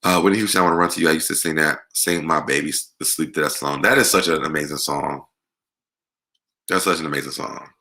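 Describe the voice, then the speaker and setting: An American man with a deep voice hosting a casual music Q&A, talking conversationally and repeating words for emphasis. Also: fairly even intonation, fast talker, sharp tone. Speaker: online show host. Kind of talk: casual live Q&A chat about music.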